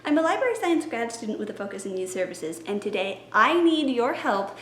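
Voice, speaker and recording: A young woman with a high, bright voice talks in a chatty, upbeat, teacherly way. She sounds like she is in her twenties. Her voice echoes around a roomy space, with faint noise underneath. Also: sing-song, brisk. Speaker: library science student and story time planner. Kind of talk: casual teaching vlog. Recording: echoey room.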